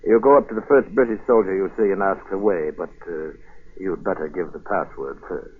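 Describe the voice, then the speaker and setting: An actor playing a pompous, sly loyalist gentleman of colonial days in a 1940s radio drama, husky and florid in speech. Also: deep voice, fairly quick, slight background noise, natural voice. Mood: sly, mocking. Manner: florid, mocking, conspiratorial. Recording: muffled narrow-band 1940s radio broadcast.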